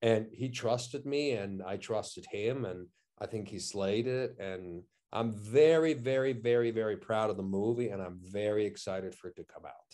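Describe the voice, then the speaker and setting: Enthusiastic actor on a video call. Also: male voice, on the low side, moderate pace, few pauses, warm tone. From Switzerland. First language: German. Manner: enthusiastic, repeats words, many "you know" and "I mean". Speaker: actor, interview guest. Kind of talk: casual interview chat. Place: living room at home, indoors. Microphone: video call, webcam.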